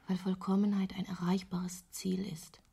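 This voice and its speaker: An actress playing an intense, wry woman painter in a radio play, giving terse answers in a hushed, breathy voice. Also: mid-range voice, little pitch movement, a little slow, exaggerated voice. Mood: quiet conviction. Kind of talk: intimate German radio play dialogue.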